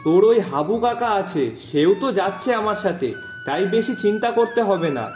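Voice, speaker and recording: A man's voice: sits high, lively intonation, a little fast. A man voicing a kindly, reassuring middle-aged village fisherman father in a cartoon moral story, speaking affectionately. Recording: echoey voice track with music behind.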